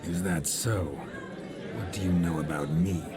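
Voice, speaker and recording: A man's voice: low voice, measured pace, crowd noise. A haughty nobleman ghost. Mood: indignant. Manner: indignant, haughty. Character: proud male nobleman ghost, haughty and touchy. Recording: game cutscene with music score.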